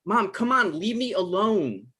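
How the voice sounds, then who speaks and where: A man's voice: sits high, animated, pushed and bright, breathy edge, heavily acted. A man playing an awkward, apologetic young man who turns dryly sarcastic when cornered, in a comedy table read. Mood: sarcastic, exasperated. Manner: hesitant, halting, dry.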